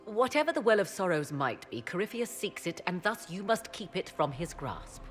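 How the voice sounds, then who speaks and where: A woman's voice: on the low side, very animated, moderate pace, soft background music. Morrigan, a cool haughty witch. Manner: formal, archaic, haughty phrasing. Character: aloof, sharp-tongued female witch and mage. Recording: game cutscene with faint score.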